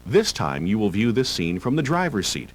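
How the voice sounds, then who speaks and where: A man reading scripted driver-education narration in a measured, instructional manner. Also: fairly low pitch, very animated. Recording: hum and hiss of old tape.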